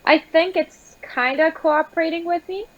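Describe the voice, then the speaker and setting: A woman from Iran calling into a live show from her home, speaking in a measured, articulate way and pausing often on "uh" and "um.". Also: extremely high pitch, muffled recording. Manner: articulate, steady, measured with many uh and um. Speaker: guest caller, recreational therapist. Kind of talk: conversational call-in interview. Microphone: remote call-in line.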